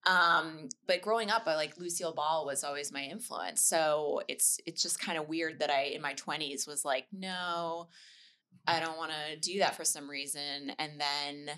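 A woman's voice: sits low, moderate pace, sharp tone. A young adult woman chatting casually and candidly as a podcast guest, with a self-deprecating way of talking. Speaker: podcast guest, comedian and actor. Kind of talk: casual personal chat.

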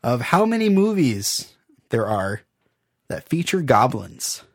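A man's voice: medium pitch, sing-song, slow. A man hosting a casual podcast chat, laughing often as he runs a trivia game. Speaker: podcast host.

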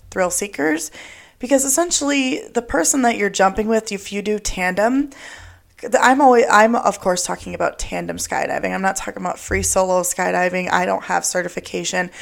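A young woman hosting a podcast, talking casually and rambling, her voice raspy and smoky from a cold that has left her throat sore. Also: fairly low pitch. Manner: chatty, rambling, lots of "like" and "um". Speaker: podcast host. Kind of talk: casual solo podcast talk.

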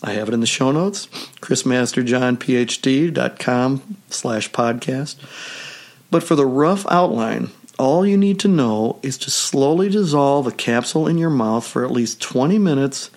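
A man hosting a solo health podcast with a measured, steady delivery, still hoarse from a recent cold. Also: mid-range voice. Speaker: podcast host.

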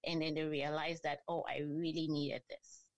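A woman's voice: low voice, flat delivery, normal speed, dry recording, highs cut off. A woman taking part in a panel discussion, speaking in a reflective, explanatory way.

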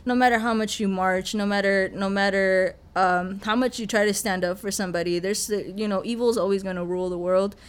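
A woman's voice: medium pitch, steady tone, brisk, hardly any pauses, smooth. A young woman from Mexico, a rapper, talking earnestly and humbly as a podcast guest, often repeating her words. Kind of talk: casual podcast conversation. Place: podcast studio.

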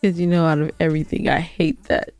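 Woman, lowish pitch, podcast co-host, casual chat, direct, insistent, dry recording. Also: fairly even intonation, fast talker, darkish tone, no room sound, faint music behind.

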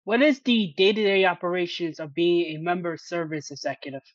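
A woman's voice: lowish voice, a little fast, dry recording. A woman hosting a sports podcast in a conversational interview style. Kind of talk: conversational interview with scripted introduction. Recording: muffled, slightly lo-fi audio.

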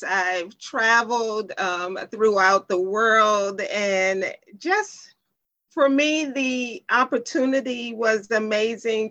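A middle-aged woman, a life coach, speaking reflectively in an interview clip, with many pauses and "um"s. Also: medium pitch, a bit bright. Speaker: podcast guest, life coach. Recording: played back from an earlier interview.

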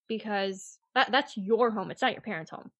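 A woman's voice: medium pitch, moderate pace, slightly rough, no room sound. Young woman chatting, dry close sound. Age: 20s. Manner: blunt, animated retelling with quoted asides and frequent "like". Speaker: real estate agent, guest. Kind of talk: casual chat, interview answers.

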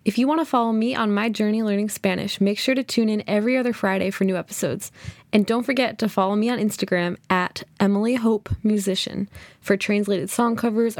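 A young woman hosting a casual solo podcast, chatty and thinking out loud, often saying "kind of" and "like". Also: mid-range voice, dry recording, studio quality. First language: English. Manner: chatty, thinks aloud, many "kind of" and "like" hedges. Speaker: podcast host.

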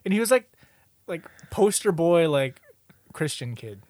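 A young man with a slightly high voice, recorded very dry. He tells stories casually and with animation, as if among old friends, throwing in slang and swearing. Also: a little slow, no echo. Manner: animated storyteller, slangy and profane. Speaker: podcast host. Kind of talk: casual chat, reminiscing with friends.

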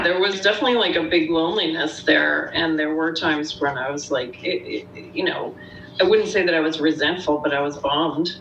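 Candid woman guest, distant roomy mic. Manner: relaxed, candid storytelling. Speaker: guest, band front woman. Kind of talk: casual interview chat. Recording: roomy, distant remote mic with faint rumble.

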